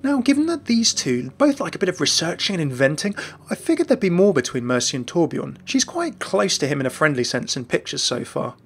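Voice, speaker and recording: A man's voice: a little high, very animated, brisk, husky. A man narrating a gaming lore video in a chatty, enthusiastic way. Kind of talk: scripted narration.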